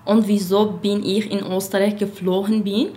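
A young woman from Syria, answering interview questions in halting speech with many fillers. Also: medium pitch, flat delivery, mellow. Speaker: radio guest.

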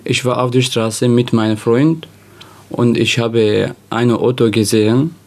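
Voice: medium pitch, steady tone, unhurried, a little mellow. A young speaker from Syria telling personal anecdotes casually as a youth radio participant.

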